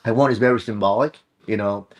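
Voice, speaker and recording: A middle-aged man from South Korea with a Korean accent, chatting casually and haltingly, often saying "you know". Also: on the low side. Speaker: client visiting a workshop. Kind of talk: casual conversation.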